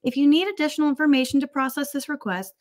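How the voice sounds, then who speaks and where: A woman's voice: high-pitched, fast talker. A woman guest on a live stream, somewhat hesitant, chatting casually or reading aloud.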